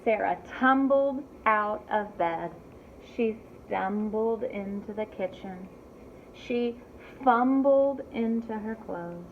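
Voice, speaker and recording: A woman reading a story aloud to young children in an animated teacherly way, pausing to ask them simple questions. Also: medium pitch. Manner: addresses young listeners directly with simple questions. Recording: thin phone-like audio with clear background noise.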